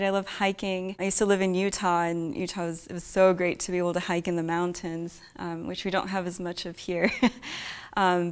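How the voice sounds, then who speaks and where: A young woman from the United States talking casually in an interview, soft-spoken, with light laughs and thoughtful pauses. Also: mid-range voice, clean tone. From Fairfax, Virginia. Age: young adult. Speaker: young artist pianist.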